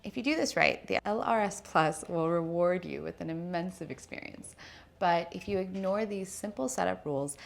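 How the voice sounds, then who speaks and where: Low-pitched, creaky-voiced woman presenting a review. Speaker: product reviewer and showroom presenter. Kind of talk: video product review, presenting to camera. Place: indoor showroom with wood-slat wall.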